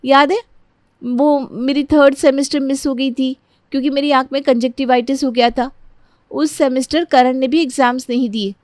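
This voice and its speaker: A woman's voice: high-pitched, steady tone, moderate pace, a little mellow, no room sound, clean studio sound, voice pushed up, natural voice. A woman voicing an earnest, pleading young bride-to-be who defends her fiance in a story. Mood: earnest, defensive.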